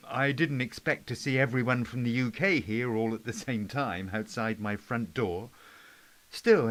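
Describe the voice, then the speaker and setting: A man's voice: mid-range voice, fairly bright tone. A man playing a polite, folksy British Prime Minister in an amateur play, chatty and a little hesitant as he gives a public address. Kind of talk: amateur play script performance.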